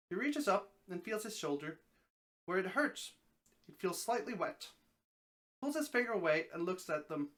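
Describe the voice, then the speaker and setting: A streamer reading a story aloud as a dramatic narration, expressive, now and then stumbling over a word. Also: mid-range voice.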